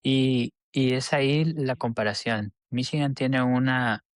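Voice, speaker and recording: A man's voice: medium pitch, takes it slowly, dry recording. A man from El Salvador, a podcast guest who talks in a hesitant, earnest way and often restarts his sentences. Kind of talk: casual interview chat.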